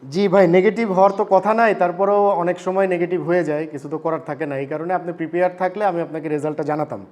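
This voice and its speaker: A man's voice: high voice, steady tone, fast talker, a little mellow. A man with a Bangladeshi accent talking casually and earnestly as a vlogger and consultant, politely and with English words mixed in. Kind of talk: casual vlog talk and phone chat.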